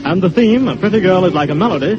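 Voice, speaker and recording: A man's voice: high voice, expressive, fast talker. 1930s radio network announcer, a man. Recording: dull 1932 radio recording, loud music.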